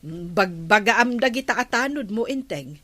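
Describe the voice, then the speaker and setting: A woman's voice: medium pitch, lively intonation, measured pace, sharp tone. A woman acting a nosy, exasperated village captain's wife in an Ilocano comedy drama, with an Ilocano accent and a scolding, questioning manner.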